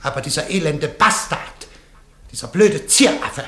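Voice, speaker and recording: An actor playing an indignant, pompous, old-fashioned aristocrat in a German radio play, blustering yet formal. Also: male voice, fairly high pitch, lively intonation, moderate pace, fairly bright tone, roomy sound, slight background noise. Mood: outraged.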